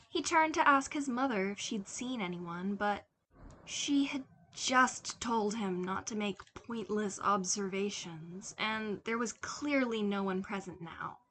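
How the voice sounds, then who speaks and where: A woman reading scripted fiction as a narrator, expressively and dramatically, with pauses. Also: mid-range voice, a bit bright, rough recording. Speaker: audiobook narrator. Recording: clear background noise.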